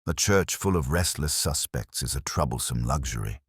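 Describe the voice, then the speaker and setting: Guy doing a calm shrewd inspector in a mystery story, really low husky murmur, deliberate. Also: dry recording, studio quality, full character voice. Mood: musing. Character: quiet, shrewd, composed male police inspector.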